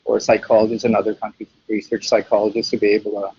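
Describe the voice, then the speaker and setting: A man hosting an interview, asking questions in a flat, measured way. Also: fairly low pitch, a little hiss or hum. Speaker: interview host. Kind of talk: moderating questions. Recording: dull lo-fi recording.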